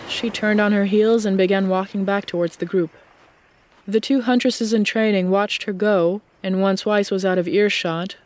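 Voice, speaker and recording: A woman's voice: mid-range voice, a little mellow. A woman reading scripted story narration as the narrator of an audiobook.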